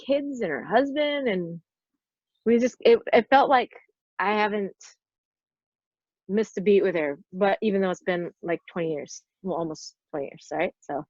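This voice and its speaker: A woman's voice: mid-range voice, normal speed, darkish tone, no echo. A woman in her forties, a guest on a podcast, chatting casually and rambling through her stories with plenty of "like" fillers. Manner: rambling storyteller, lots of "like" fillers. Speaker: podcast guest, stunt performer. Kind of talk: casual interview chat. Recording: dull, dry lo-fi sound.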